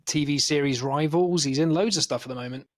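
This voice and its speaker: A man's voice: on the high side, a loud crowd. A Scottish man hosting a podcast, rambling and joking in casual banter. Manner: rambling, jokey, quick to laugh. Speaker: podcast host.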